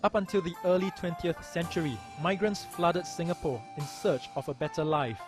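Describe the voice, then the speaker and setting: A man reading a documentary news report in a measured, even voice. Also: a little high. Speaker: news narrator. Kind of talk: scripted documentary narration. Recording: voice-over with music behind.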